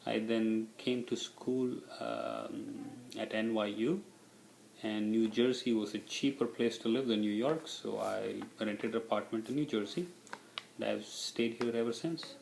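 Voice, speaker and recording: A man's voice: on the low side, steady tone, measured pace, vocal fry, a little mellow, roomy sound, a little hiss or hum, low-quality recording. A middle-aged man from India, a native Tamil speaker with an Indian accent, answering interview questions in a casual, reflective way.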